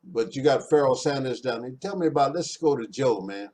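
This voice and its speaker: A man's voice: medium pitch, expressive, brisk, darkish tone. A man hosting a casual interview, eager and friendly, full of slang as he trades stories with his guest. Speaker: host.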